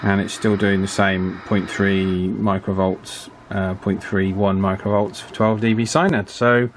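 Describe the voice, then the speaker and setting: A man giving a casual, chatty hands-on repair commentary in an unhurried way, as if thinking aloud. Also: low voice, flat delivery, a little hiss or hum. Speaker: radio repair hobbyist presenting his work.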